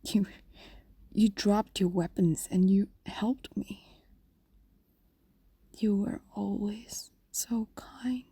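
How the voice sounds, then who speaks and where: A woman voicing an ancient, lovestruck female fox demon in an intimate audio roleplay, whispering softly and coaxingly with lingering pauses. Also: medium pitch, fairly even intonation, dry recording, exaggerated voice. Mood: wistful, fond. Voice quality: soft, breathy whisper. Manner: intimate, slow, pausing, coaxing. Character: possessive, lovestruck female fox demon, centuries old, tender. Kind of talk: ASMR-like fantasy audio roleplay.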